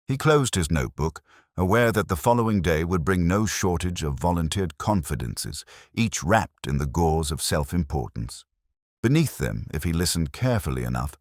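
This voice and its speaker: A man reading a literary mystery story as narrator, in a measured, dryly wry manner. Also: very deep voice, dry recording, studio quality.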